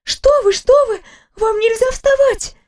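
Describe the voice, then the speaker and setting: A woman voicing a fussy, talkative young female nurse with a high, ringing voice. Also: moderate pace, darkish tone. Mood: alarmed.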